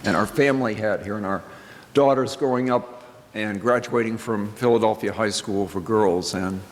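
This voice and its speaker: An older man speaking as a minister in a reflective church address, with deliberate, halting phrasing and pauses for emphasis. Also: on the low side. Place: large indoor church sanctuary. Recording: reverberant church hall with background noise.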